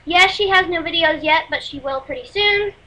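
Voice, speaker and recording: Teen girl, Texas accent, high and bright, rambling and apologetic, recorded at home on a built-in webcam mic. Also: moderate pace. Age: teens. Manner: rambling, apologetic, many fillers. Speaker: music vlogger. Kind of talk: casual webcam review. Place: small room at home, indoors.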